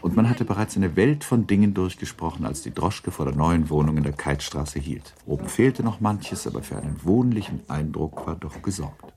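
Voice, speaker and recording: A man's voice: low-pitched, lively intonation, normal speed, hardly any pauses, mellow. A man narrating a literary radio drama in a composed, scripted storytelling style.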